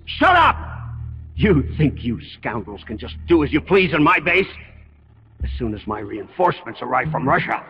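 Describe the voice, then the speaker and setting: A man's voice: mid-range voice, very animated, normal speed, in a large hall, dull audio, slight background noise, natural voice. A man playing a pompous, blustering, middle-aged Soviet colonel in a video game, with theatrical delivery. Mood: outraged. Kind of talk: dramatic video game acting. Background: loud music.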